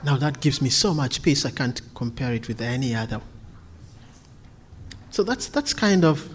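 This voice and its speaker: A man's voice: mid-range voice, very animated, normal speed. A man from Kenya, preaching earnestly and then answering questions, often repeating words as he restarts. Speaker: visiting preacher.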